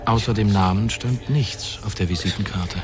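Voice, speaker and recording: A man's voice: lowish voice, moderate pace, natural voice. A male narrator reading scripted German science-fiction radio drama narration in a composed, literary way. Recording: music behind.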